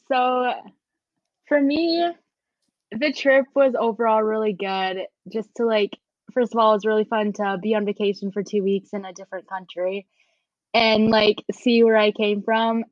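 A woman's voice: medium pitch, no room sound. A young woman chatting casually, with many "like" fillers. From United States. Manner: easygoing, frequent "like" fillers. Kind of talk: casual chat. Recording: slightly dull, dry sound.

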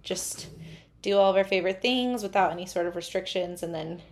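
A heavily pregnant woman in her twenties hosting a podcast, talking casually and brightly with frequent laughs. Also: lowish voice, fairly quick. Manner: chatty, upbeat, giggly. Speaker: podcast host. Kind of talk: casual chat.

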